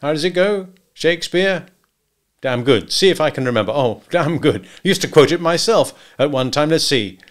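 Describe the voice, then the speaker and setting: A man voicing a gruff, unflappable male hunter who talks in terse, hearty, clipped phrases. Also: a little high, animated, normal speed, pitched above the performer's own voice, lightly acted. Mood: brisk, gruff.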